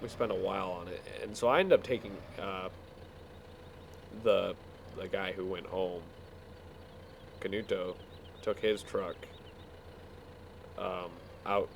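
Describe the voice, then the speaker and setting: Halting man storytelling over noisy gameplay. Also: deep voice, steady tone, gravelly. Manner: halting, repeats words, breaks off to mutter at the game. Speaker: video game player telling stories.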